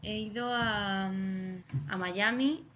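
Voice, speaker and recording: A woman's voice: mid-range voice, fairly even intonation, slow, fairly bright tone, a little hiss or hum. A young woman from Spain with a Spanish accent, chatting as a podcast guest, full of fillers and restarts. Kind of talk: casual chat.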